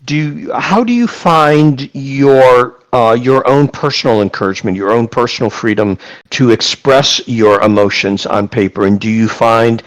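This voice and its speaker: A man's voice: medium pitch, unhurried, low-quality recording. A man hosting an online class on a video call, reading out chat questions and praising them effusively. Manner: effusive, repeats praise, reads questions aloud. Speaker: class host and moderator.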